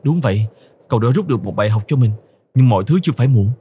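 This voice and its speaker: A man voicing a calm, kindly antelope in a cartoon, speaking in a steady, reassuring manner. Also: mid-range voice, very fast, a little hiss or hum, natural voice. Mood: kindly advice. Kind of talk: cartoon voice acting.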